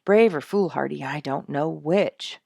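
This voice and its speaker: A woman's voice: low-pitched, measured pace, vocal fry, darkish tone, dry recording, clean studio sound, exaggerated voice. A woman voicing a rueful, dramatic father in a storybook, weary and emphatic as he recounts his troubles. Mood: exasperated.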